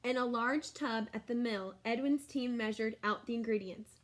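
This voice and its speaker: Lady teacher reading a picture book to kids, kinda high voice, really expressive, stops to explain stuff simply. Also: moderate pace. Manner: expressive, exclaiming, explains things simply for children. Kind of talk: read-aloud storytelling with asides to young listeners.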